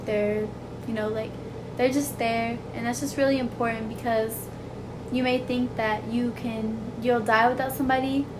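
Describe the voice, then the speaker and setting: Soft-voiced young woman, earnest and rambling. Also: a little high, steady tone, normal speed, background noise. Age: young adult. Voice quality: soft, childlike voice. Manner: earnest, rambling, full of "like" and "you know". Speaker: vlogger. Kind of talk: heartfelt personal talk to camera.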